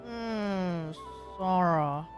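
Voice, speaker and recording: A woman's voice: on the low side, very slow, over music. A woman live streaming a game, chatting casually and rambling as she thinks aloud. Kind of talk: casual gameplay livestream chat.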